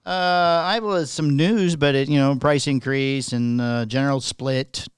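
A man co-hosting a talk show, reading news items aloud in a casual way and often halting to find his place. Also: mid-range voice, fairly even intonation, smooth, no echo, clean studio sound. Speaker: podcast co-host reading news.

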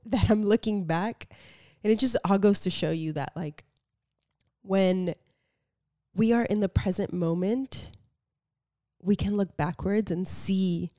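A woman's voice: on the low side, mellow, dry recording, clean studio sound. A young woman hosting her own podcast, sounding congested and nasal from allergies, talking openly and from the heart with many casual fillers. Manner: open, heartfelt, frequent "like" fillers. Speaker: podcast host. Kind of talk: casual solo podcast talk. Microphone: studio podcast mic.

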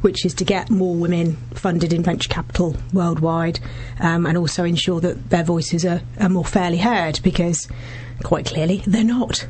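A woman in her forties with a husky voice, a business founder talking warmly and earnestly as a guest in a radio studio interview. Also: fairly low pitch, fairly even intonation, moderate pace, hardly any pauses, slight background noise. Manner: warm, effusive, speaks in long earnest runs with restarts.

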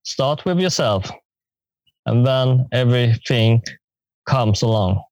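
Slow-spoken halting male guest. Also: medium pitch, animated, darkish tone, no room sound, muffled recording. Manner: slow, halting, with many pauses. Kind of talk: podcast interview.

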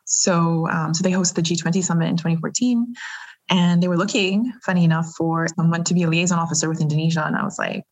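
A woman recounting her career in a candid interview, lively and quick to laugh, often restarting her sentences. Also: on the low side. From grew up around Asia. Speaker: interview guest.

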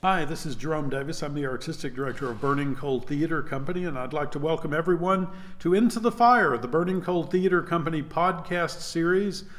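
A man's voice: on the high side, faint music behind. Man hosting a theater podcast. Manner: measured, many uhs and restarts. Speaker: podcast host, theater artistic director. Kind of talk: podcast interview.

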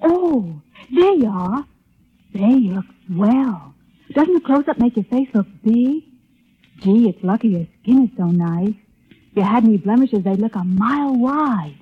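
A woman's voice: on the high side, lively intonation, measured pace, slightly rough, full character voice. An actress playing a chatty, gushing young woman in a 1930s radio advertisement. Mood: excited, gushing. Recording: thin, phone-like old radio recording.

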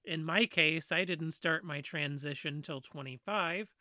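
A woman with a fairly deep voice, earnestly explaining a topic in a casual discussion. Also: unhurried, sharp tone, no echo, clean studio sound. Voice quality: fairly deep voice she trained herself to talk around. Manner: earnest, explanatory, with frequent "you know" fillers.

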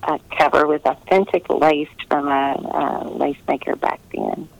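A woman's voice: low-pitched, fairly even intonation, unhurried, gravelly, dry recording, rough recording. A woman chatting casually as an author being interviewed, gracious and enthusiastic in the way she talks.

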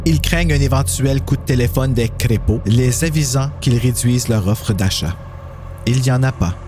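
A man's voice: medium pitch, normal speed, darkish tone. Quebec French male storyteller over music. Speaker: host and narrator. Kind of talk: scripted horror story narration.

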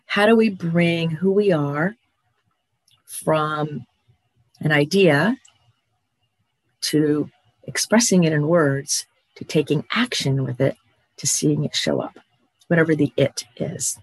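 A middle-aged man giving a reflective motivational talk, measured and thoughtful, pausing between phrases. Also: a little high, husky, no room sound, rough recording. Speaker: speaker on creativity.

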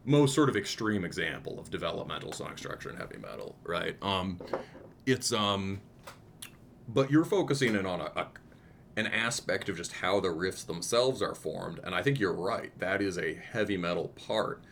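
A man's voice: low voice, animated, moderate pace, gravelly, a bit bright, a little hiss or hum. A man in casual conversation, thinking aloud as he picks apart songs, restarting his sentences with lots of "um"s. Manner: thoughtful, restarts often, many ums, hums riffs. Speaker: podcast co-host discussing music.

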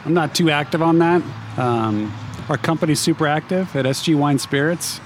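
A man's voice: fairly high pitch, normal speed, a little hiss or hum. A man chatting as a podcast guest, talkative and laughing often. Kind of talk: casual chat.

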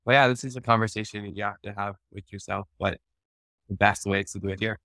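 A man's voice: lowish voice, fairly even intonation, fast, gravelly, pushed and bright, dry recording. A man from Hawaii whose first language is Hawaiian, talking casually as a guest on a panel. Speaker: panel guest, podcast host.

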